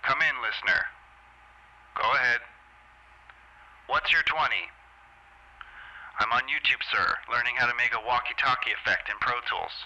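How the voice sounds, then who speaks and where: A man's voice: mid-range voice, normal speed, long pauses, bright, forceful tone, dull audio. A man playing a terse radio operator with clipped, procedural calls, heard through a distorted walkie-talkie filter. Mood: terse, businesslike. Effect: walkie-talkie filter with heavy compression and distortion. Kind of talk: clipped radio call acting. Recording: crunchy, band-limited, distorted walkie-talkie sound.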